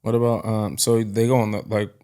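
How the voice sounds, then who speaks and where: A man hosting a casual interview podcast, asking relaxed, rambling questions with many fillers. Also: fairly low pitch, brisk. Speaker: podcast host.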